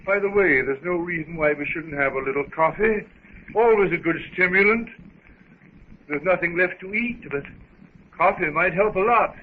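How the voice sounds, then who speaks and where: An actor playing a weary, affable gentleman explorer with a genteel, old-fashioned way of chatting in a 1930s radio serial. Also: sits high, moderate pace, lightly acted. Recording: tinny, hissy 1930s radio recording.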